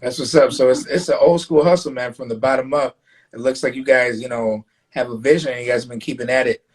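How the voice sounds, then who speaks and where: A man's voice: mid-range voice, steady tone, quick pace, slightly rough. A man hosting a casual live-stream interview show, speaking loosely with lots of fillers and restarts. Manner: loose, filler-heavy, stumbles over his words. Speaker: live talk show host.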